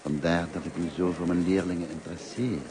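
An actor in a Dutch radio play as a kindly, talkative, lonely middle-aged teacher, courteous and musing in manner. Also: male voice, deep voice, flat delivery, brisk, natural voice. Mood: wistful, fond. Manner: courteous, musing, hesitant and self-effacing. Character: kindly, talkative, lonely grey-haired male French teacher. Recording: noisy old radio play recording.

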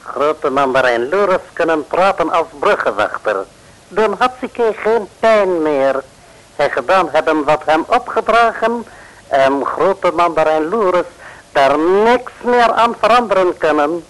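Slow, high-pitched scheming foreign villain. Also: male voice, animated, a bit bright, poor audio. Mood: smug, taunting. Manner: broken grammar with bare infinitives, taunting. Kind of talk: 1950s radio serial acting. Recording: old 1950s radio recording.

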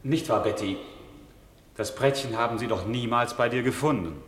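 Persistent defence lawyer played by a man, medium pitch, reverberant hall, formal 1950s radio acting. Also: normal speed, a bit bright, natural voice. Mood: insistent. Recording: echoey hall with long reverb.

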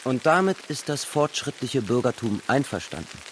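An actor in a radio drama playing a reserved, probing revolutionary man, terse and measured as he questions. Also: medium pitch, big pitch swings, slight background noise, lightly acted. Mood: critical, measured.

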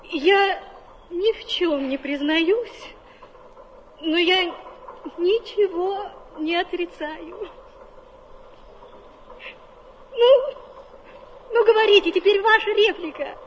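A coquettish stage actress in a radio play, in a very high voice. She speaks playfully and deliberately, mocking herself with tenderness underneath. There is faint noise in the recording. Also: lo-fi sound. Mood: coy, tender. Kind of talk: theatrical radio drama dialogue.

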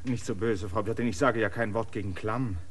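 An actor playing a stubborn land surveyor in a radio play, insistent and emphatic. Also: male voice, lowish voice, brisk, natural voice.

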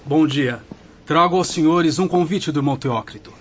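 A Brazilian man acting in a radio drama as a polite, brisk hospital messenger. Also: on the high side, slight background noise, lightly acted. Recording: slightly muffled radio drama recording.

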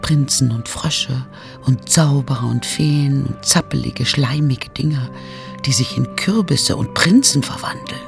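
An actress playing a meek, dreamy dead twin sister with a childlike, timid way of talking in a radio play. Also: female voice, very deep voice, measured pace, creaky, over music, exaggerated voice.

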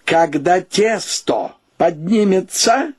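A man's voice: high voice, animated, slightly rough, heavily acted. A male actor playing a stubborn, argumentative bachelor with mock-solemn, pedantic delivery in a radio play. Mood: pedantic, bickering. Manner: theatrical, drawn-out, pedantic. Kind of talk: Russian radio play acting.

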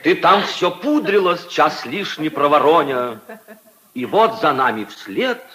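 Slow, mock-solemn comic reciter. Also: male voice, fairly high pitch, expressive, pushed and bright. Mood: mock-tragic. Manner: mock-solemn, drawn-out declamation. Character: humorous gentleman reciting mock-tragic comic tale and verse. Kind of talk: 1950s Soviet radio drama acting.

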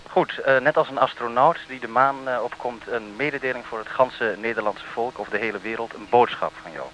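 A man's voice: medium pitch, fairly quick, a bit bright, rough recording. A man working as a radio interviewer, asking questions and making announcements without a script.

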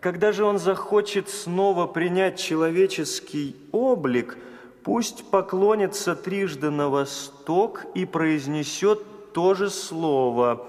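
A man's voice: sits high, takes it slowly, darkish tone, long reverb tail. A man playing an impulsive, commanding Eastern caliph in a theatrical fairy-tale audio play. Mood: intrigued. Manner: imperious, theatrical.

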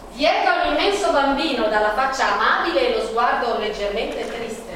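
An actress playing a passionate, anguished woman painter in love, in a slow, poetic, declamatory theatrical monologue. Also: a little high, fairly bright tone, a little hiss or hum. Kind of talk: theatrical monologue with sung Spanish passages. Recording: echoey hall, distant mic, guitar music.

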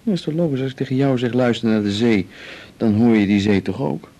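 An actor playing a calm, dreamy musician husband in a radio drama, intimate and reassuring. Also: male voice, mid-range voice, fairly quick, lightly acted. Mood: relaxed, teasing. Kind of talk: 1980s radio drama acting.

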